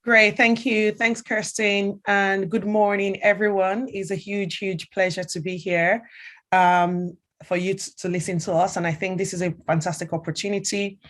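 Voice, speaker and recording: A middle-aged Nigerian woman talks about her career path to students at an online event. Her delivery is chatty and loose, full of ums and restarts. Her voice sits in a middle pitch. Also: level tone, normal speed, fairly bright tone. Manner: chatty, many fillers and restarts. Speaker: senior strategic advisor.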